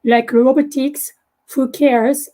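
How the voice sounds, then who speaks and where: A woman from Japan with a Japanese accent, a native Japanese speaker, giving a halting presentation over a video call with many fillers and restarts. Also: sits high, fairly even intonation, mellow. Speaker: guest presenter.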